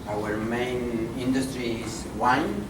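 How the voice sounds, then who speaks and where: Man, academic lecturer, deliberate and halting; distant, echoey, noisy room recording. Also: mid-range voice. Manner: deliberate, halting, many fillers. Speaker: visiting professor and speaker. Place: conference room.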